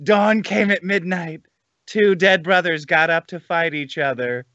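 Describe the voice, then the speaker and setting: A man's voice: a little high, measured pace, sharp tone, no echo, muffled recording. A man bantering crudely, with a mocking, profane, exclaiming manner. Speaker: podcast guest.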